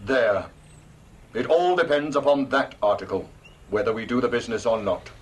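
An actor playing a blunt, confident aristocratic British army commander in a historical audio drama, speaking in a clipped, dry way. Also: male voice, mid-range voice, normal speed, natural voice. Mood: dryly confident.

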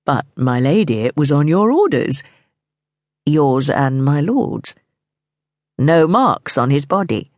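A woman's voice: low voice, very animated, slow pace, pauses often, darkish tone, dry recording, clean studio sound, voice pushed down, full character voice. Woman doing a sly, cold male servant. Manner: sly, matter-of-fact.

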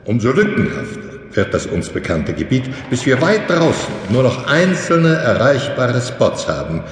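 An actor playing a methodical professor, formally explaining in the didactic style of a sci-fi audio drama lecture. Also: male voice, medium pitch, big pitch swings, moderate pace, a little hiss or hum, close to an everyday voice. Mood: methodical, instructive. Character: male professor lecturing trainee pilots, instructive and methodical. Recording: echoey lecture hall, music underneath.

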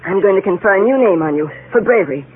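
A man's voice: sits high, animated, quick pace, slight background noise. An actor playing a bossy young English boy who leads his playmates with solemn, commanding formality in a 1930s radio drama. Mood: solemn, ceremonial. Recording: tinny, muffled 1930s radio broadcast.

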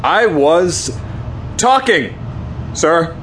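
Brash, animated young space cadet. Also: male voice, on the high side, very slow, a little hiss or hum, full character voice. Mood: flustered, sheepish. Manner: stammering when challenged. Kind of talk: radio play acting. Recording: loud music behind radio drama.

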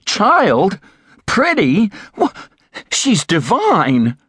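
A man voicing an eager, excitable young man who is lovestruck and pleads breathlessly. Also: mid-range voice, moderate pace, no room sound, studio quality, voice pushed up. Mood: gushing. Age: young adult. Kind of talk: audiobook character voice.

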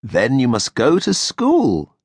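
A man voicing a lonely, gentle old carpenter who speaks in a fatherly way. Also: medium pitch, huge pitch swings, takes it slowly, slightly rough, no echo, clean studio sound, pitched above the performer's own voice, heavily acted. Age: older.

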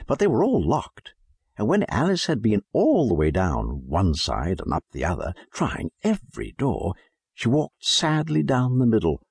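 A man narrating a children's storybook as a scripted audiobook, in an expressive storytelling manner. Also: medium pitch, creaky, breathy edge, no room sound, studio quality.

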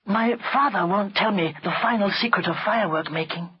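A man's voice: high-pitched, fairly quick, slightly rough, muffled recording, pitched above the performer's own voice. A man voicing an earnest, curious young girl in a storybook, plain-spoken and determined. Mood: troubled. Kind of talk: storybook character voice.

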